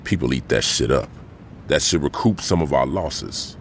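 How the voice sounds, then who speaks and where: An actor playing a stern middle-aged American crime boss in a video game cutscene, blunt and authoritative, with a gravelly voice. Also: male voice, very deep voice, animated, moderate pace, slight background noise. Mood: stern, businesslike. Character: commanding middle-aged male gang kingpin, stern and short-tempered.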